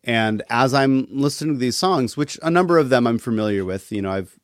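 A man talking casually as a podcast guest, thoughtful and halting, with a wry streak. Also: mid-range voice, no room sound, studio quality. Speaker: interview guest, musician.

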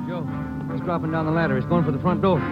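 An actor playing a loyal, wry police detective partner in a 1940s radio crime drama, casual and clipped, on a muffled old recording. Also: male voice, on the high side, fairly even intonation, fast talker, loud music behind the voice, natural voice. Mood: alarmed.

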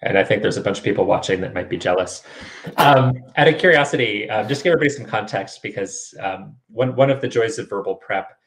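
Lower-voiced man hosting an online interview. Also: fairly bright tone, rough recording. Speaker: interviewer and host of a test-prep show.

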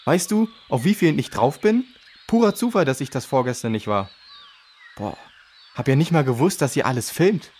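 A man's voice: medium pitch, lively intonation, normal speed, darkish tone, husky. A man playing a defensive teenage boy who acts tough, talking in slangy, hesitant bursts in a children's audio drama.

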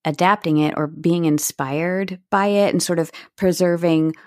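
Low-voiced, reflective woman interviewer. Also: fairly even intonation, a little slow, darkish tone, no echo, studio quality. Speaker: podcast host interviewing a guest. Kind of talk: thoughtful conversational interview.

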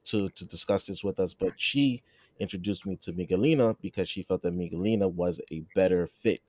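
Man, podcast host, low voice, dry close sound, repeats and corrects himself. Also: a little fast, a little mellow, dry recording. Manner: stumbles and repeats words, self-corrects. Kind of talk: casual podcast interview.

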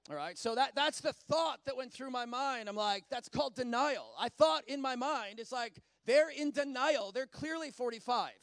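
High-voiced guy in his 40s preaching, chatty and animated, smooth clear tone, dry sound. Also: normal speed, hardly any pauses, no room sound. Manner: animated, conversational preaching with asides. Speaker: pastor. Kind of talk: sermon.

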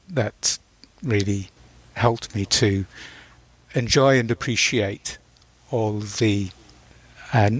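A man in his sixties from Northern Ireland, reflecting as an interview guest in a thoughtful, halting way, searching for words. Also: mid-range voice, breathy edge.

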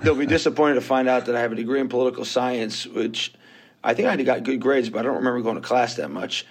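A man's voice: mid-range voice, fast talker. A man in his fifties with a New York accent, telling his story as a guest on a podcast interview in a candid, self-deprecating way. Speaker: guest investor.

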